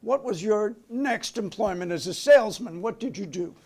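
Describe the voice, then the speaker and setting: A man's voice: high-pitched, expressive, moderate pace, vocal fry, a little mellow, heavily acted. An American man reading the part of a formal, insistent committee interrogator in a dramatic reading. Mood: pressing. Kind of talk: dramatic reading of a hearing transcript.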